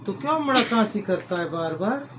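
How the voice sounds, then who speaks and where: A man's voice: high voice, animated, normal speed, background noise. A middle-aged man, hosting a home cooking video in a folksy, rambling way, full of homely advice and blessings. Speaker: cooking channel host. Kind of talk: casual home cooking vlog.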